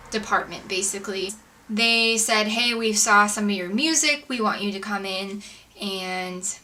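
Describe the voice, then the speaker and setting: Bright, chatty young American woman, slightly distant mic. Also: mid-range voice. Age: young adult. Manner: chatty, informal, peppered with "like". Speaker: vlogger and singer-songwriter. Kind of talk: casual personal storytelling to camera. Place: indoors, makeshift home filming setup. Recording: distant mic, roomy home video, background noise.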